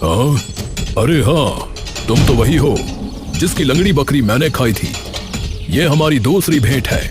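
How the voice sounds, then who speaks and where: A man's voice: mid-range voice, lively intonation, a little slow, lightly acted. Man voicing a friendly, commanding magical wolf. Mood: friendly, reassuring. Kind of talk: Hindi cartoon story character dialogue. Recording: music behind the voice.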